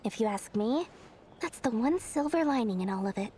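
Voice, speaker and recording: Woman playing a high-pitched little merchant girl. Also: animated, normal speed, dark tone, a little hiss or hum, natural voice. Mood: wistful. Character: small cheerful money-loving young female merchant. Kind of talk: video game voice acting.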